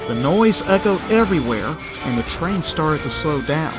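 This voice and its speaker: A man's voice: fairly high pitch, big pitch swings, normal speed. A man narrating a scripted children's story in the third person. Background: background music.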